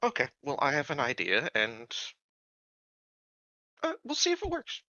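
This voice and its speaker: A man playing a tabletop roleplaying game, talking casually and haltingly as he thinks aloud. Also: a little high, wildly swooping pitch, sharp tone, no echo, lo-fi sound.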